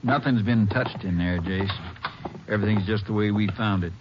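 A man plays a sympathetic middle-aged American sheriff from a small town, plain-spoken and lowish in pitch. It is 1950s western radio acting, dulled by age with clear background noise. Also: normal speed, natural voice. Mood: somber. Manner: folksy, plain-spoken drawling phrasing. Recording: muffled, noisy 1950s radio recording.

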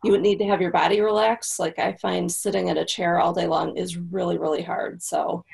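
Podcast guest, woman, gravelly husky voice, low-ish, practical explainer. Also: little pitch movement, fairly quick, darkish tone. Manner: practical, explanatory, frequent "right?". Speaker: copywriting guest. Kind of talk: casual podcast interview.

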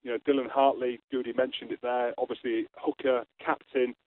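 Fast Scottish man on a phone line. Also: mid-range voice, flat delivery, no room sound. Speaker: rugby pundit guest. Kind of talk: radio interview, call-in.

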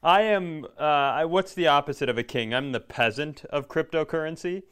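A man's voice: on the high side, normal speed, a bit bright, clean tone. A man co-hosting a comedy podcast, chatting casually in a self-deprecating, rambling way. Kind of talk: casual comedic banter and announcements.

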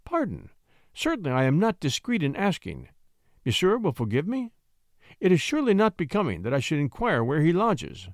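A sly, charming young French lady coaxes and pleads in a high, lilting voice that leaps all over, played by a man reading aloud. The sound is close and dry. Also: huge pitch swings, normal speed, no echo. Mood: coaxing.

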